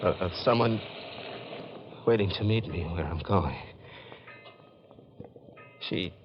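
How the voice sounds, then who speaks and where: A doomed, bewildered dupe in a 1940s radio thriller. His voice is on the low side and he speaks slowly and haltingly. The recording is dull, with clear noise. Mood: haunted, afraid. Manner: halting, repeating his words. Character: doomed, bewildered man duped into murder. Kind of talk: 1940s radio mystery acting.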